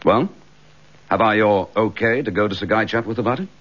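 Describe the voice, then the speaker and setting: An actor playing a steady, dryly courteous middle-aged English chief inspector in a radio detective drama. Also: male voice, lowish voice, fairly quick. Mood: businesslike, dry. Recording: dull lo-fi radio drama recording.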